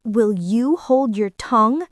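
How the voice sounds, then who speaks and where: A sly, greedy she-cat from a storybook, played by a woman. The voice is high and lively, smooth-talking and brazen, recorded close and dry. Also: slow pace, no room sound. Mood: threatening. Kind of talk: children's fairy-tale character voice.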